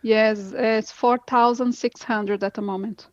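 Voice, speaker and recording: A woman from Brazil with a clear Brazilian Portuguese accent talks as an interview guest in English, her second language. Her voice sits fairly high, and she speaks earnestly and enthusiastically, stumbling and restarting phrases. The sound is dry and a little dull, like call audio. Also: fairly even intonation, unhurried, darkish tone, dry recording. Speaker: guest, crypto educator. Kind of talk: interview conversation.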